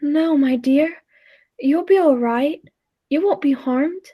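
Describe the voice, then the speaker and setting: Bright-voiced? no: mellow, high-pitched gentle time traveler played by a woman. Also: slow. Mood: concerned. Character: Philby, gentle, contented time traveler and storyteller. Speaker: amateur drama club actor. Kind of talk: 1940s radio play acting with narration. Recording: roomy echo with faint music.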